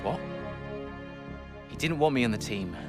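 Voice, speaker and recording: A man's voice: mid-range voice, animated, unhurried, music in the background, close to an everyday voice. A man playing an earnest, eager young rookie racing driver in a game cutscene. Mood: hurt. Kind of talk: dramatic game cutscene acting.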